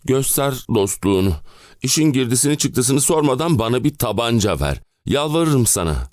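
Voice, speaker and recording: Man acting an exasperated, exhausted clerk, wildly swinging pitch. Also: sing-song, moderate pace, a little mellow, no room sound, clean studio sound, natural voice. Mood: weary, pleading. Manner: dramatic, complaining, rhetorical questions. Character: exhausted, exasperated middle-aged family man and clerk, ranting. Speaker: actor narrating an audio play. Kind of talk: theatrical audio drama monologue.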